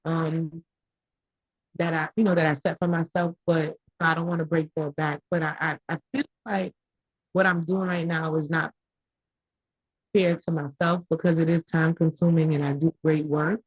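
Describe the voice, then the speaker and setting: A woman whose first language is Haitian Creole speaks hesitantly and candidly over a video call. Her voice is very low and husky, with a flat melody and a dry sound. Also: moderate pace, no echo, lo-fi sound. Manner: hesitant, candid, many fillers. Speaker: class participant, small business owner. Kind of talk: casual chat.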